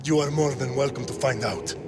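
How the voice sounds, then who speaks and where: A man's voice: medium pitch, brisk, natural voice. An actor playing a defiant, commanding Spartan king in a dramatic video game, bold in manner. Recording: loud music over the voice.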